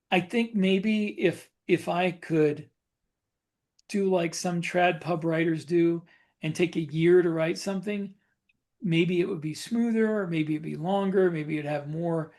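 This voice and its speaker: A man chatting casually as a podcast co-host, thinking aloud in halting phrases that he often repeats. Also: high-pitched, a little mellow. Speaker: podcast co-host and fiction author.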